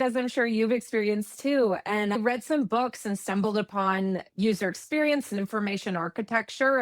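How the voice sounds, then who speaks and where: Canadian woman just chatting, thinks out loud, fixes her own story, dead dry sound. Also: mid-range voice, dry recording. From eastern Canada. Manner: chatty, reflective, self-correcting. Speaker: guest, UX expert. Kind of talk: conversational interview.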